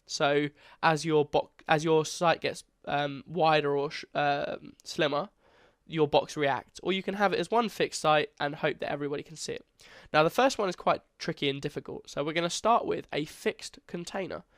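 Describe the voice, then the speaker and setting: A young man with a stuffy, congested voice walks viewers through a beginner coding lesson in a loose, chatty way, often restarting his phrases. His voice sits a little high for a man, and the recording is dry and close with no room sound. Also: moderate pace, hardly any pauses, a bit bright, dry recording. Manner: casual, chatty, self-correcting. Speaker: coding tutor. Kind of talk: screen-recorded coding tutorial.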